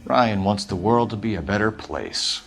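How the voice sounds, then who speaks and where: Male police detective, crime drama game. Lowish, expressive, probing and sardonic. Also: moderate pace, gravelly. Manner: terse questioning.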